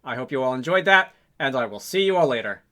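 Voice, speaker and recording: A man's voice: medium pitch, sing-song, fast talker, pushed and bright. A man hosting a game development tutorial, talking casually and unscripted, musing to himself as he works. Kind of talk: casual unscripted screen-recording tutorial, thinking aloud.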